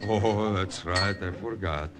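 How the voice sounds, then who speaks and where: An actor playing a hard, vengeful partisan leader with a blunt, taunting manner in radio drama. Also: male voice, deep voice, steady tone, slow pace. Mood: taunting, bitter. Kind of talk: 1950s radio drama acting. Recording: old radio recording with crowd noise.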